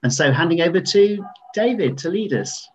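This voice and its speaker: A man leading a reverent spoken prayer in a measured way, then handing over to the worship leader. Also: on the high side, animated, husky. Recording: dull lo-fi recording.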